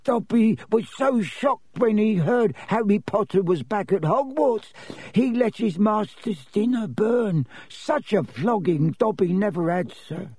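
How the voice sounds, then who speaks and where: A small house elf from a storybook, very high-pitched and husky. The creature is servile and fearful, forever pleading with deference and referring to itself in the third person. The sound is close and dry. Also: male voice, expressive, measured pace, darkish tone, dry recording, full character voice. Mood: distressed, earnest. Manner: speaks of self in third person, obsequious.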